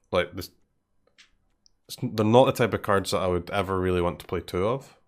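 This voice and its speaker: A man with a Scottish accent streaming a card game, thinking aloud in casual commentary, often dropping to a mutter and clearing his throat. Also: low voice, moderate pace. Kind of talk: casual live commentary while playing.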